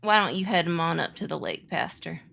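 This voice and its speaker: A woman's voice: fairly low pitch, expressive, a little fast, vocal fry, fairly bright tone, exaggerated voice. A woman game master playing a genial, sinister businessman who gives polite, dismissive orders in a tabletop game. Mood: calmly commanding.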